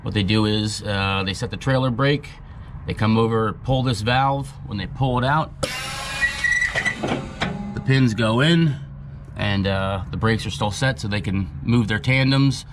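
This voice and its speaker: A man's voice: fairly low pitch, measured pace, heavy background noise. A man explaining a trailer repair outdoors in a plain-spoken, hands-on way, with frequent fillers, recorded on a body-worn camera. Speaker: truck repair technician. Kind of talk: hands-on technical demonstration. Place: outdoor gravel lot by trailers.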